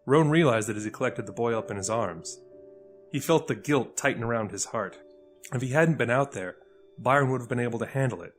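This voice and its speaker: A man's voice: mid-range voice, brisk. A man narrating a scripted dramatic story as the narrator. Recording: music under the voice.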